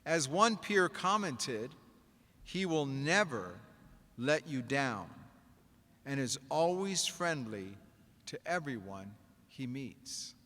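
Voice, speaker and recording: Slow ceremonial man reading an award. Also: mid-range voice, big pitch swings, pushed and bright. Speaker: presenter of school award. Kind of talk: formal reading of an award citation. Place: large convention hall. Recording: echoing hall over a PA.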